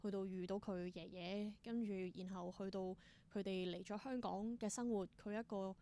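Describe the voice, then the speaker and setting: Woman, theatre performer as interview guest. Hong Kong Cantonese accent, mid-pitched, casual, enthusiastic storytelling sprinkled with English. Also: steady tone, moderate pace, dark tone, smooth.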